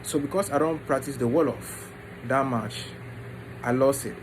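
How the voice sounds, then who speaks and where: A man tells his personal story informally, in short halting phrases with pauses. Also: mid-range voice, background noise. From Ghana and the United States. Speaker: vlogger introducing his book.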